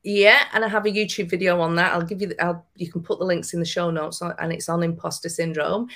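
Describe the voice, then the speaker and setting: A woman's voice: lowish voice, fairly even intonation, fairly quick, fairly bright tone. A woman with a broad Lancashire accent, a podcast guest giving encouraging, emphatic advice. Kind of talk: podcast interview.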